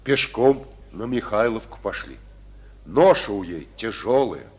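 A man's voice: fairly low pitch, animated, measured pace. An actor playing a plain-spoken male soldier with a folksy, colloquial way of talking, in a radio drama. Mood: matter-of-fact. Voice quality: husky, folksy colloquial speech.

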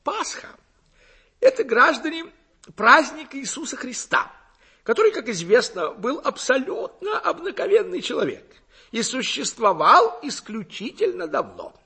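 Gurgling, high-pitched pompous village windbag. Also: male voice, wildly swooping pitch, a little slow, bright, forceful tone, husky, heavily acted. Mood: smug, gushing. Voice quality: gurgling, throaty. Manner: affected, gushing, self-assured oratory in clumsy learned words. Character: pompous, ignorant village lecturer, a man, smug windbag. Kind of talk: audiobook character acting.

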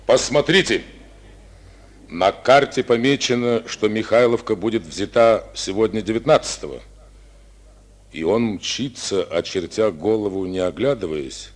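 An actor playing a stern, sharp-witted male army commander in an old radio drama, ironic and commanding. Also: fairly low pitch, unhurried. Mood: scornful, analytical.

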